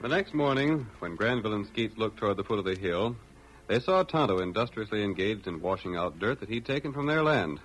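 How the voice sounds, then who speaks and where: Low-voiced male radio narrator. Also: animated, a little fast, music in the background, rough recording. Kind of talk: 1940s radio drama narration, scripted.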